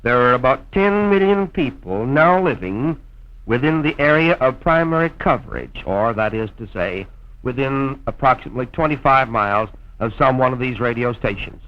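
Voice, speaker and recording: A man's voice: mid-range voice, animated, normal speed. A man speaking as narrator and announcer in the formal, scripted manner of mid-century radio. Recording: dull, lo-fi old film soundtrack.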